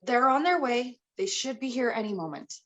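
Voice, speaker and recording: A woman's voice: a little high, animated, normal speed, highs cut off, natural voice. A woman playing a firm, worried, bossy mother in a screenplay table read. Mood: concerned.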